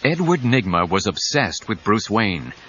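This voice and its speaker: An actor narrating a superhero audio drama as a suspenseful storyteller. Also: male voice, fairly low pitch, very animated, unhurried, fairly bright tone, a little hiss or hum. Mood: dramatic, suspenseful. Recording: dull 1990s cassette, music under voice.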